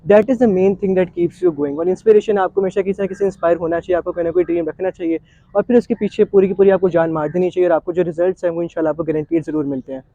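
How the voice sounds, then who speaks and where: A man's voice: high voice, steady tone, fast talker, dark tone, dry recording, muffled recording. A man with a Pakistani accent hosting a conversational interview, mixing English phrases into his speech. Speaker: interview show host.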